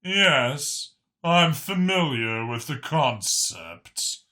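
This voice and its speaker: A man's voice: medium pitch, sing-song, very slow, pushed and bright, exaggerated voice. A man voicing a suspicious vulture-like alien art thief in a narrated story, dripping with sarcasm. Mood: sarcastic.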